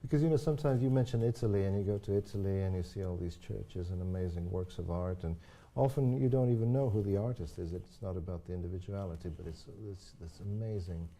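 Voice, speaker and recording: Deep gravelly older man, Croatian native speaker. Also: quick pace, few pauses, dark tone. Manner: thoughtful, many restarts and agreeing yeahs. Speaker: horn player and teacher. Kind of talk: live online interview.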